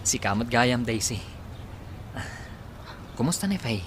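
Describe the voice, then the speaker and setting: Earnest young Ilocano man, mid-pitched. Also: lively intonation, measured pace, a bit bright, slight background noise. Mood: guarded. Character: heartbroken, earnest young man, respectful godson. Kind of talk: Filipino radio drama acting.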